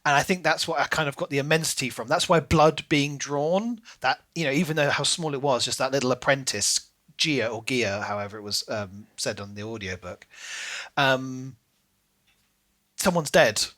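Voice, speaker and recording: A man co-hosting a podcast in a casual book discussion, speaking in an animated, emphatic, opinionated way. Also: medium pitch, normal speed. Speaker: podcast co-host.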